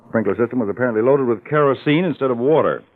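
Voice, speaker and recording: An actor playing an authoritative, shrewd male law-enforcement chief in an old-time radio crime drama, brisk and emphatic. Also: mid-range voice, noisy background, lightly acted. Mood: briefing. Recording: muffled, narrow old radio recording.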